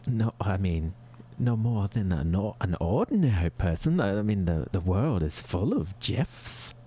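Man doing a breathy, prim, flustered housewife. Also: big pitch swings, measured pace, warm tone, full character voice. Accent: put-on regional accent. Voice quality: high put-on voice with breathy whispers. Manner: dramatic, prim, full of double meanings. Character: fussy married woman, flustered, prim, middle-aged. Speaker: advice-show caller. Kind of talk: improvised comedy sketch.

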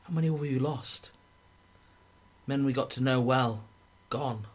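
A man's voice: mid-range voice, measured pace, natural voice. A man acting in a radio drama as a young, war-weary British soldier, bitter and desperate to desert. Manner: impassioned, fed up.

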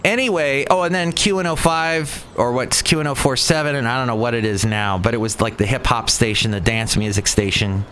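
A middle-aged American man with a mid-pitched voice talks casually through his memories. He wanders off on tangents and corrects himself along the way. A faint layer of noise sits behind him. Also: a little fast, few pauses, a bit bright. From Southern California, United States. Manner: conversational, self-correcting, digressive. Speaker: veteran radio broadcaster telling personal stories. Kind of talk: casual rambling monologue of reminiscences.

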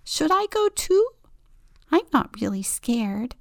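A woman voicing a small, timid bird with a high, squeaky, cheeping voice. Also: big pitch swings, slow pace, gravelly, no echo, clean studio sound, exaggerated voice. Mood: nervous. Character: tiny frightened chirpy bird.

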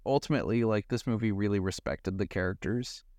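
A man's voice: on the low side, fairly quick, a little mellow, no room sound, clean studio sound. A man co-hosting a podcast, talking through movies in a casual, conversational and analytical way. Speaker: podcast co-host.

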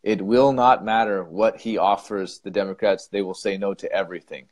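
A man's voice: on the low side, steady tone, moderate pace. A man co-hosting a casual chat who gives blunt, emphatic opinions and often restarts his sentences. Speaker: co-host.

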